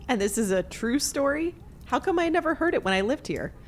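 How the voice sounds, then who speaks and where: A woman's voice: high voice, big pitch swings, fairly quick, slight background noise. A woman playing a skeptical, sarcastic hometown returnee in an audio drama. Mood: curious. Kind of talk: naturalistic audio drama acting.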